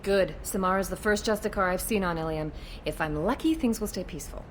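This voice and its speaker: A woman's voice: fairly low pitch, brisk, a little hiss or hum, natural voice. A woman playing a wary alien police officer in a video game, explaining matters frankly with slight exasperation. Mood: frank, cautious.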